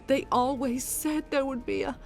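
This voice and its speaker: A woman's voice: sits high, moderate pace, faint music behind. An actress playing a dignified middle-aged mother, tormented and dying under mind control, grave and faltering, in a dramatic video game scene. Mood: dying, resigned.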